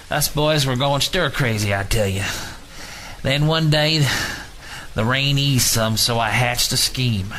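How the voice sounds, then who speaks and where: A man's voice: medium pitch, sharp tone, slight background noise, voice pushed up, low-quality recording. Man playing a haunted, stubborn older prison guard. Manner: folksy, rambling storytelling.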